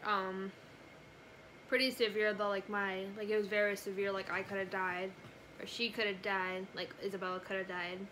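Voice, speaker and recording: A woman's voice: medium pitch, flat delivery, moderate pace, a bit bright, slight background noise, rough recording. A young woman telling a personal story casually to camera, with lots of "like" and "you know" in her talk. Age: young adult. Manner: conversational, frequent "like" and "you know". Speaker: family vlogger.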